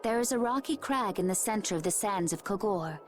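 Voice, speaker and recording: An actress playing a calm, secretive and dutiful young woman warrior in a video game. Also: mid-range voice, brisk, lightly acted. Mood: calm, grave. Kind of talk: scripted video game voice acting. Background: game music.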